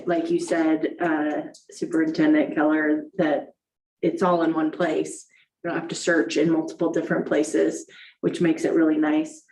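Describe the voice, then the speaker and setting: A woman's voice: low voice, level tone, normal speed, a little mellow. A woman on a school board thinking aloud with many fillers, giving her view at a meeting. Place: large meeting room. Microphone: desk microphone from afar. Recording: echoey room, distant mic, faint hiss.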